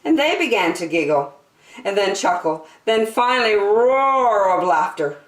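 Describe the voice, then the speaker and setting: A woman's voice: mid-range voice, measured pace. A woman hosting a children's story time, reading a picture book aloud expressively. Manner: expressive, addresses listeners as friends. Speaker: storytime host. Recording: roomy indoor echo.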